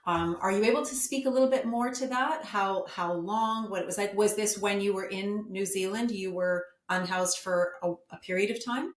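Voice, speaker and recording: A woman's voice: mid-range voice, normal speed, hardly any pauses. A woman with a mid-range voice hosts an interview. She asks questions and encourages her guests with frequent murmurs. Her voice is heard in an echoey room. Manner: encouraging, many backchannel murmurs. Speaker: podcast host. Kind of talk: interview host.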